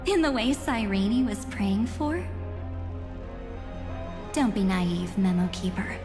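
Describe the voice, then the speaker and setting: An actress playing an enigmatic, taunting young woman in a video game, speaking deliberately with theatrical condescension. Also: mid-range voice, animated. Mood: scornful. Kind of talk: dramatic video game voice acting. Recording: echoey reverberant hall, music behind.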